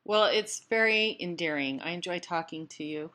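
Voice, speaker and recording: A woman hosting a conversational interview, speaking politely and encouragingly, with pauses as she leads into her questions. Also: lowish voice, pushed and bright. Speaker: interview host.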